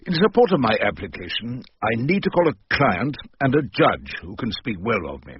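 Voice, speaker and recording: Husky, droll old English barrister. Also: male voice, mid-range voice, normal speed, dry recording. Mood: pompous, droll. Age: older. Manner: dry, ornate, deadpan wit. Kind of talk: radio drama narration and dialogue. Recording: dull lo-fi radio recording with hiss.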